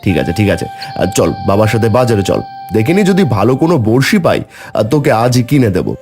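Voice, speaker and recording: A man's voice: lowish voice, big pitch swings, a little fast, darkish tone, faint music behind. A man voicing an affectionate, hard-pressed village farmer and father in a cartoon story, talking in a fond, coaxing way. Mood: exasperated, giving in.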